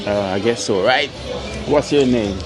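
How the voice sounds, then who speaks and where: Jamaican male vlog host, relaxed outdoor chat, middle pitch, laughs a lot. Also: measured pace, music in the background, a crowd in the background. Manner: easygoing, laughs often, hands out donations. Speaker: vlogger host. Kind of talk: casual street vlog chat.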